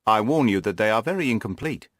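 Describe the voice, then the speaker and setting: A man voicing an earnest, orderly gentleman companion in an audiobook, measured and deliberate in manner. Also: on the low side, lively intonation, no room sound, studio quality, close to an everyday voice. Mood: measured, informative. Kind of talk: audiobook character voice.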